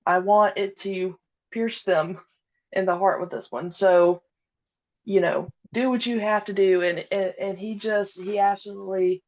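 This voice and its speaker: The recording is dull and lo-fi, carrying a woman's mid-pitched voice. She is in her thirties and speaks casually as a podcast guest. Her phrasing is halting and hesitant as she gathers her thoughts. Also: steady tone, a little mellow. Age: 30s. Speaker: podcast guest, audio drama creator. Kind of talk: casual interview conversation.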